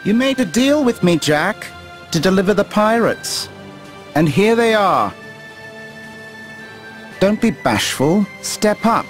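An actor playing a smug, commanding pirate in a video game, speaking in a taunting, deliberate manner. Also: male voice, sits high, sing-song, faint music behind, heavily acted. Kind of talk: dramatic video game cutscene acting.

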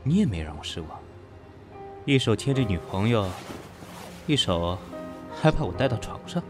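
A man's voice: lowish voice, very animated, moderate pace, over music. An actor playing a proud, defiant businessman with a curt, sarcastic way of talking in an audio drama. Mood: sarcastic, cold. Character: proud, sharp-tongued businessman, defiant reluctant male lover. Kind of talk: Chinese audio drama acting.

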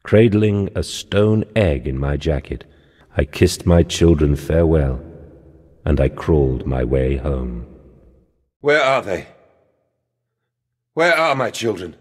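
A man's voice: rumbling low, sing-song, slow pace, long pauses, darkish tone, slightly rough, exaggerated voice. An actor playing an anguished, guilt-ridden father in a video game, brooding and desperate, with a theatrical, tormented delivery. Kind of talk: dramatic video game voice acting. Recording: long cavernous reverb.

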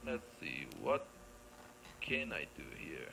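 A man's voice: slow, noisy background. A man giving casual let's play commentary, rambling playfully and thinking aloud as he plays. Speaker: gameplay video creator.

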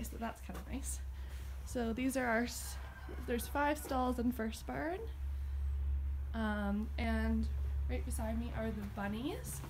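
A woman's voice: on the high side, a little mellow, a little hiss or hum. A woman in her twenties gives a casual, chatty walking tour of a farm and barn, filmed on a phone held at arm's length. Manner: chatty, upbeat, points things out while walking. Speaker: vlogger giving a barn tour. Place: outdoors on a farm and inside a barn.

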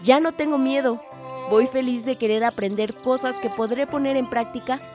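Actress as a hopeful young village narrator. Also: female voice, high voice, moderate pace, lightly acted. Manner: earnest, reflective. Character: hopeful young village woman narrating her own story. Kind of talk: radio drama narration. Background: music.

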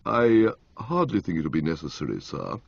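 A man's voice: wildly swooping pitch, gravelly, husky, no echo, exaggerated voice. A man voicing a grave, deferential valet. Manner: low, measured, deferential. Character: grave, dignified male valet, unflappable. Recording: dull lo-fi, dry.

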